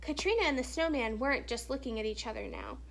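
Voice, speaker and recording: A woman reading a children's story aloud in an expressive, animated storytelling manner with hushed, dramatic phrasing. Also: sits high, quick pace, vocal fry. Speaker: teacher or reader reading a children's picture book aloud.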